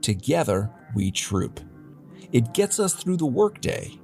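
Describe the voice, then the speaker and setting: A man's voice: mid-range voice, very animated, slow pace, dark tone, soft background music. A man playing a wry, defiant news host in a dystopian future city, delivering a satirical scripted newscast in a theatrical, mock-grand way. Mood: sardonic, defiant.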